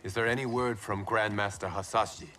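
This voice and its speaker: An actor playing an earnest, principled warrior monk in a video game, speaking formally. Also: male voice, fairly low pitch, steady tone, fairly quick, a little hiss or hum. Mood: wary. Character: earnest young male warrior monk, loyal, principled, defiant. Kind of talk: video game cutscene acting. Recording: loud game music behind. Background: loud cinematic music.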